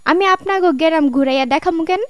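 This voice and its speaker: A woman's voice: very high-pitched, fast talker, dry recording. A woman voicing a feisty, suspicious young village woman with a rural Bangladeshi dialect in a comic cartoon.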